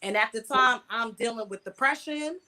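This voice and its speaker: Bright, forceful young American woman telling her story. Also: on the high side, moderate pace. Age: mid twenties. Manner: colloquial, emphatic with repeated words for stress. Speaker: interview guest, online video creator. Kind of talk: candid personal storytelling in an interview.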